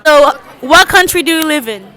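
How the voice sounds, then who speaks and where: A teenage girl with a high-pitched, bright voice runs a casual street interview. She puts short questions to people in an informal way, with some crowd chatter behind her. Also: animated, normal speed. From United States, Texas. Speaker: host asking street quiz questions. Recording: outdoor vlog with people chattering around. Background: faint crowd chatter.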